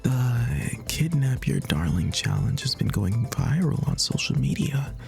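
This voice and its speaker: A man playing a sweetly menacing, obsessive stalker in a comic audio roleplay, soft, breathy and matter-of-fact. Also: mid-range voice, sing-song, unhurried, soft background music, heavily acted. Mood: chatty, creepy. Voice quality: breathy, whispery.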